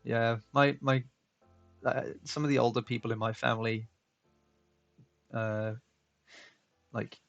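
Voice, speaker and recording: Gravelly-voiced British man hosting a stream. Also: mid-range voice, slow, pauses often, no echo, highs cut off, soft background music. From United Kingdom. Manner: relaxed, rambling, reads chat aloud. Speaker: stream host. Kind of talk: casual livestream chat.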